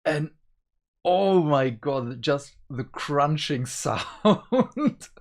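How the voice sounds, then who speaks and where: A man's voice: fairly high pitch, expressive, very slow. A man co-hosting a casual review chat, enthusiastic and prone to giggling. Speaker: reviewer, co-host.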